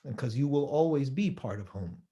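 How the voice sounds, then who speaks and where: Male speaker, mellow voice, measured sermon delivery with rhetorical questions. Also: medium pitch, lively intonation, dull audio. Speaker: rabbi giving a holiday talk.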